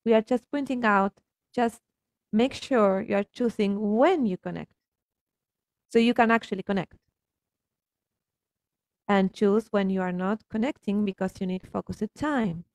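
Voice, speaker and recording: Casting: Argentine-accented woman, native Spanish speaker, earnest coaching talk, mid pitch, gravelly fry, dry close sound. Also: measured pace, long pauses, a little mellow, no room sound. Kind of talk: reflective coaching conversation.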